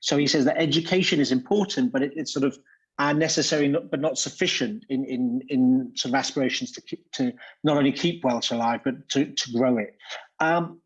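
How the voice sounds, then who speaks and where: A man's voice: mid-range voice, brisk, husky. Male panel chair, hesitant, repeats words, dull muffled recording. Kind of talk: moderating a panel discussion. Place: bookshop event room.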